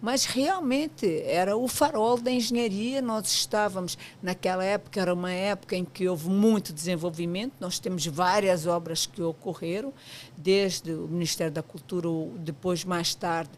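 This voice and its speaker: A woman from Portugal with a European Portuguese accent, an architect and association president, explaining at a measured pace on a podcast. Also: fairly low pitch, slight background noise. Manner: measured, explanatory, pauses with "portanto". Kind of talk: podcast interview.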